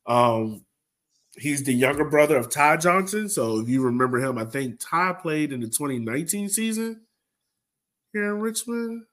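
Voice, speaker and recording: A man's voice: on the high side, expressive, normal speed, fairly bright tone. Blunt man hosting a casual sports podcast. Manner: blunt, opinionated, conversational. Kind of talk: casual soccer fan podcast discussion.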